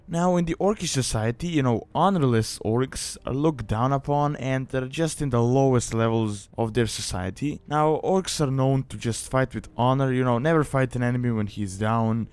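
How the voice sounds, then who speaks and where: A man's voice: mid-range voice, a little mellow, smooth. A man giving a casual, conversational explanatory voice-over as the narrator of a guide, often saying "you know". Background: background music.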